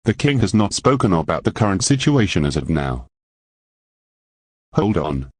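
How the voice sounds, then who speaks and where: Low-pitched man reading breaking news. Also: sing-song, normal speed, a little mellow, husky, no echo. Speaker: news anchor. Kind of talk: breaking news report in a fictional emergency scenario.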